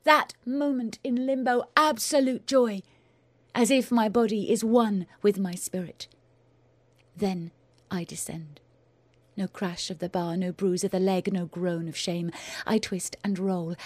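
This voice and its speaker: A woman's voice: mid-range voice, fairly even intonation, no room sound. Intense woman narrating, dry and close. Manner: intense, measured, drops to hushed whispers. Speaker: writer and performer. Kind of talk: first-person audio drama narration.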